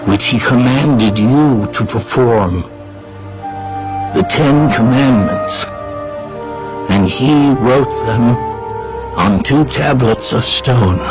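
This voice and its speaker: A man playing a solemn, aged prophet exhorting his people in a grave, deliberate way, as in a dramatized scripture reading. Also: mid-range voice, poor audio. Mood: solemn, narrating.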